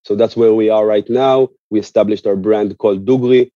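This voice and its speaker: A man speaking as an interview guest, explaining in an earnest, conversational way with halting, repeated words. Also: fairly low pitch, fairly even intonation, mellow, no room sound, lo-fi sound. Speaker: interview guest, musician and activist.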